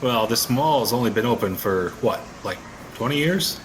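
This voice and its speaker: A skeptical American husband acted by a man, wry and hesitant yet curious. His mid-pitched voice swings expressively through casual audio-play dialogue, with obvious noise in the recording. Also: animated, moderate pace, lightly acted, rough recording. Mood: skeptical, humoring. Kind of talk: audio drama acting in casual American talk.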